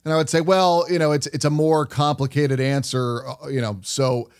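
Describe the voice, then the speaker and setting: A man's voice: fairly high pitch, little pitch movement, normal speed, darkish tone. A man hosting a hockey podcast, interviewing guests in a casual, conversational style. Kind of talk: casual sports interview chat.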